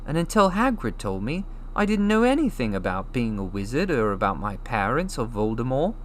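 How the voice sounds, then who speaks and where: A man puts on a modest young boy from a storybook, new to magic. He sounds earnest and animated, his pitch leaping about. The recording carries some noise. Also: moderate pace, natural voice.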